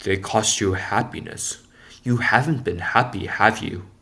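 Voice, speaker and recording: A man voicing a gentle, wise woodcarver in a storybook, calm, deep and kind, speaking slowly and tenderly. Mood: gently reproving. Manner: slow, deliberate, tender. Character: gentle, wise male woodcarver, fatherly maker of puppets.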